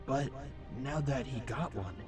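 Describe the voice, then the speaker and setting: A man's voice: medium pitch, measured pace, natural voice. A man voicing a lonely, chatty robot scout in a comic dub, hesitant, rambling and eager to please, with music behind. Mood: awkward, agreeable.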